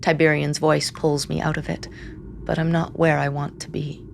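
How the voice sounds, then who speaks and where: An actress playing a grim, haunted seer who reads the dead, narrating in the first person with hushed, deliberate intensity. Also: female voice, low-pitched, flat delivery, gravelly, a little hiss or hum. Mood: somber, wistful. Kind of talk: first-person dramatic narration in audio drama.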